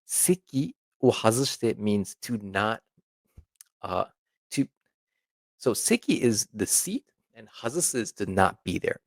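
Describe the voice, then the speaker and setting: A man with a lowish voice and plenty of vocal fry presents a language lesson in an informal, explanatory way. He repeats example phrases and checks in often as he goes. The sound is very dry, with hardly any room in it. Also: animated, slow, dry recording. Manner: conversational, checks in often with right and okay.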